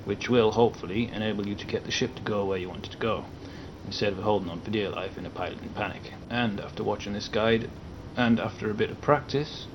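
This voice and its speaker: A man with a northern English accent that slips into southern English at times, narrating a partly scripted game tutorial in a measured, explanatory way with many careful qualifications. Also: fairly low pitch, noisy background. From England. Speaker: video game guide maker.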